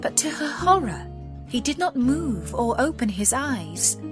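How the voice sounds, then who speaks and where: A woman narrating a scripted fairy tale as a storyteller, measured and expressive. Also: high-pitched, over loud music.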